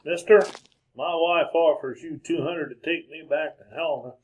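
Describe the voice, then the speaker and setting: A man's voice: a little high, fairly even intonation, normal speed, natural voice, poor audio. Man playing a wounded, stubborn outlaw gunslinger. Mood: weak, stubborn. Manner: stubborn, commanding though weakened. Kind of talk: old-time radio western acting.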